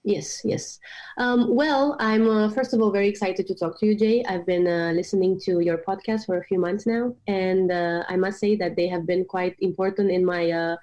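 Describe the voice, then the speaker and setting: A woman's voice: mid-range voice, fairly even intonation, moderate pace, warm tone, lo-fi sound. A woman from Romania in her late twenties, speaking as an interview guest with many hesitant fillers. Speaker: guest, startup product manager. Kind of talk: remote interview.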